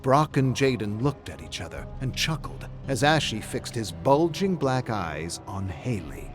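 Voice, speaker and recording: A man reading dramatic scripted fiction as narrator, in a measured, suspenseful storytelling manner. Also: medium pitch, sing-song, music in the background.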